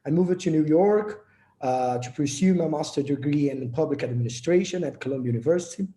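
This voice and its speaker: A man's voice: on the high side, fairly quick, dark tone, roomy sound. A young man from Brazil with a Brazilian Portuguese accent, giving an earnest prepared presentation as project leader, with small slips and restarts.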